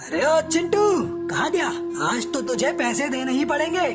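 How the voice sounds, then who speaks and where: A bullying crow henchman from a cartoon, acted by a woman. The voice is high and swoops up and down as it threatens and taunts. Music plays loudly behind. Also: animated, normal speed. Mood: menacing. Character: bullying male crow, moneylender's menacing henchman. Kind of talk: cartoon story dialogue.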